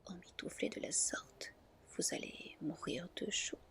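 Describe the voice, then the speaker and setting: A woman's voice: unhurried, a bit bright, natural voice. A woman voicing a courteous, formal young prince in a whispered storybook reading. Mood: concerned. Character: courteous young prince addressing his fiancée.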